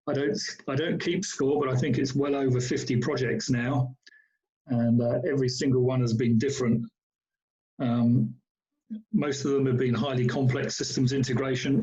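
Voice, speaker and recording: An older man introducing himself on a video-call panel in a dark, flat, mellow voice. He speaks modestly and steadily over dull, muffled call audio. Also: medium pitch. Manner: modest, measured listing with ums. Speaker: panelist, veteran project director.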